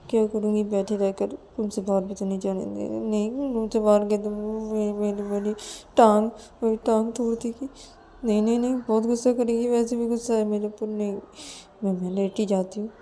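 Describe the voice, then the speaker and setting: A woman's voice: fairly high pitch, mellow, a little hiss or hum, pitched above the performer's own voice, natural voice. A woman voicing a tearful young woman who pleads and apologises in a whiny, repetitive way in an audio story. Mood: sulky, fretting.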